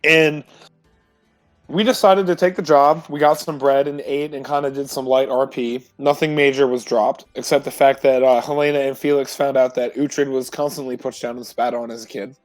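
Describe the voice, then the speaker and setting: A man informally recapping a previous tabletop roleplay session as a player, in a halting, self-correcting way. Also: mid-range voice, fairly even intonation, dry recording. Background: music.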